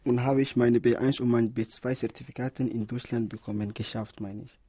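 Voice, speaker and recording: A nineteen-year-old man from Somalia speaks as a studio guest, choosing his words carefully and deliberately. His voice is dark and a little husky. The recording is dry and close. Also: medium pitch, fairly even intonation, no echo. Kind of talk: careful self-introduction in learned German. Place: radio studio.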